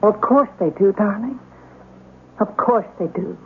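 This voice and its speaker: A woman's voice: mid-range voice, a little slow, faint music behind, close to an everyday voice. An actress playing an ambitious, nagging wife and new mother in a radio drama, with an insistent, emphatic delivery. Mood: troubled. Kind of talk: 1970s radio drama acting. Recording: noisy, tinny old radio broadcast.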